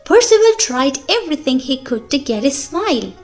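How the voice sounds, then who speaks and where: A woman reading a children's picture book aloud in a slow, expressive storytelling manner for young listeners. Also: sits high, soft background music.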